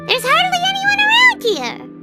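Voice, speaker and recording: A woman's voice: very high-pitched, wildly swooping pitch, moderate pace, loud music behind the voice, full character voice. A woman voicing a small, chirpy, curious childlike fairy companion in a video game, full of exclamations. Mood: wondering. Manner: exclaims, refers to self in third person.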